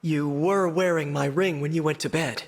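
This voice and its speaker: An actor playing a kind, courteous young heroic spirit in a video game, patient and reassuring. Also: male voice, fairly high pitch, fairly even intonation, normal speed. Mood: reassuring, calm. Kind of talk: scripted video game voice acting. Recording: long reverb on the voice.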